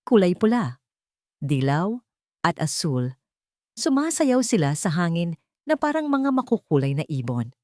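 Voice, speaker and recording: A woman voicing a warm, hopeful young female caregiver in a romance audiobook, tender and earnest. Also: lowish voice, big pitch swings, unhurried, long pauses, dry recording, clean studio sound.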